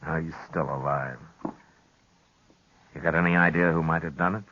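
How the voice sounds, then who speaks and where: An actor playing a gruff, measured Old West marshal in an old radio western, asking probing, steady questions. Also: male voice, a bass voice, no room sound. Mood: questioning. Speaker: marshal character. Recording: dull lo-fi 1950s radio recording.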